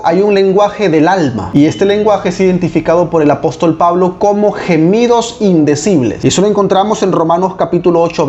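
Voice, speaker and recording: A male pastor reading aloud from a book and explaining it in a measured, deliberate way. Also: fairly high pitch, warm tone, dull audio.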